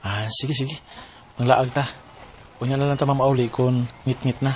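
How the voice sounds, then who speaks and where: An actor playing an ardent, coaxing young suitor in a radio drama, with a Cebuano accent. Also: male voice, medium pitch, fairly even intonation, moderate pace, close to an everyday voice. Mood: eager. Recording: music bed under radio drama voices. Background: music.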